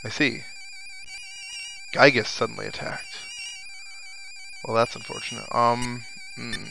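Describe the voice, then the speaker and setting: A man's voice: mid-range voice, fairly even intonation, takes it slowly, creaky, rough recording. Musing man commentating over game music. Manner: musing, talks to himself. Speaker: let's play commentator. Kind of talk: casual game commentary, reading dialogue aloud. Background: video game music and sound effects.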